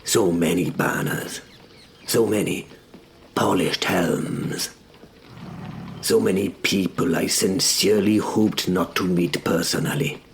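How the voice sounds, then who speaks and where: A man playing a wry, fearful young medieval knight who narrates his battles in a deadpan, measured way for an audio drama. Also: deep voice, creaky, slight background noise, heavily acted. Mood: dry, dreading. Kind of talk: first-person scripted dramatic narration.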